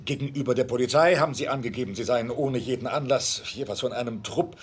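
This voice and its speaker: An actor playing a grave, concerned school head in a radio drama, speaking formally and in measured sentences. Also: male voice, mid-range voice, a little hiss or hum, lightly acted. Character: grave male school head reporting street attacks.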